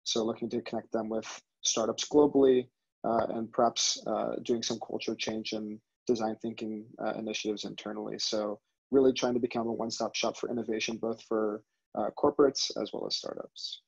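Flat-voiced young American man. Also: on the low side, fairly quick. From United States. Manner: fluent, flat, businesslike. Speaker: venture capital director. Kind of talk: panel self-introduction. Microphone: headset on video call. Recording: husky, dull video call audio.

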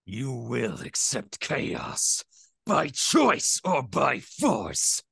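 A man voicing a menacing, faceless chaos warlord from a fighting game, with a raspy growl and grandiose, threatening delivery. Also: medium pitch, big pitch swings, drawn-out words, sharp tone, no room sound, studio quality, full character voice. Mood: menacing, triumphant.

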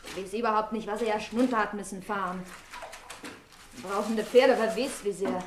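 An actress playing a hard, defiant young maidservant in a radio drama, speaking curtly in rustic German. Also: female voice, mid-range voice, steady tone, a little fast, slight background noise, close to an everyday voice. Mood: tart, scornful. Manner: curt, tart, rustic.

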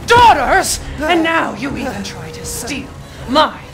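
A woman's voice: high-pitched, sing-song, takes it slowly, creaky, full character voice. An actress playing a towering, vengeful aristocratic vampire lady in a video game, imperious and theatrical, in an echoey space with music behind. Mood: enraged. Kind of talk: dramatic video game acting.

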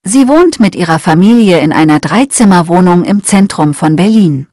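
A woman with a fairly low voice reads simple texts for language learners. She speaks clearly and evenly, each sentence set out with care. The sound is dry and close, with no room around it. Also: lively intonation, moderate pace, mellow, no room sound. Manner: clear, evenly paced reading aloud. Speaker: German lesson reader. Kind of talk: slow scripted reading for learners.

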